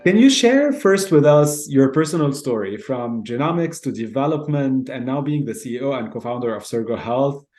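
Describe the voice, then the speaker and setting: A man's voice: mid-range voice, animated, moderate pace, few pauses, darkish tone, faint music behind. A man with a mid-range voice hosts a podcast. He reads his guest's introduction from a formal, prepared script. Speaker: podcast host.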